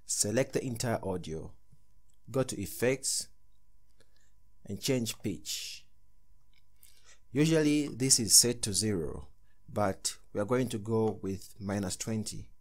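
Low-voiced man hosting a tutorial. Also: slow pace, pauses often, darkish tone. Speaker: tutorial host. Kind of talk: tutorial narration.

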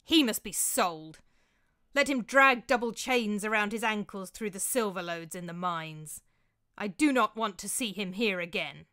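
A woman's voice: mid-range voice, unhurried, sharp tone. A woman voicing a furious, jealous Roman woman who screams and commands. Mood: imperious.

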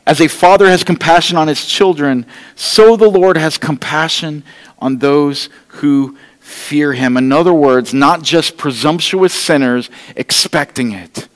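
A middle-aged man preaches to his congregation in an earnest, pleading tone, his voice sitting a bit high for a man. The sound carries the long reverb of a church hall. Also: unhurried. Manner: walks the stage holding an open Bible. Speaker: pastor. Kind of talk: sermon. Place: church stage, large room indoors.

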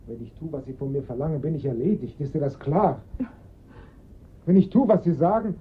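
An actor playing an anguished, blackmailed father in a radio crime drama, speaking in a strained, halting way. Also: a little high, breathy edge. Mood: anguished, despairing. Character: desperate, blackmailed father, an anguished office man. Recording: dull, lo-fi radio play recording.

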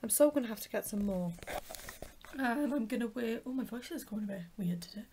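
A young woman with a croaky voice, chatting casually and rambling a little as she vlogs into a handheld camera. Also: on the high side, animated, brisk, mellow. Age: young adult. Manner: rambling, chatty, self-correcting. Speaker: vlogger. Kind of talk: casual vlog chat to camera. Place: home bedroom, then a car. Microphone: handheld camera at arm's length. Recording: background noise from the car.

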